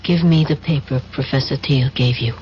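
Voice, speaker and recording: An actress playing a teasing, persuasive young intelligence agent, in old-time radio mystery style. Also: female voice, rumbling low, normal speed, a little mellow. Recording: muffled, noisy radio drama recording.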